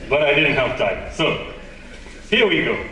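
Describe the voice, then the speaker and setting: A man's voice: a little high, big pitch swings, a little slow, bright, forceful tone, slight background noise. Malawian male conference presenter, conversational and self-deprecating, recorded at a distance in a reverberant hall. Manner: conversational, self-deprecating, asks the audience questions. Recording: echoey hall, distant mic.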